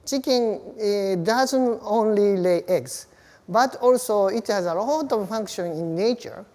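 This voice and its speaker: A woman with a Japanese accent gives a documentary interview at a very slow pace. Her voice rings with long reverb. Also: medium pitch, mellow. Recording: long reverberant hall echo.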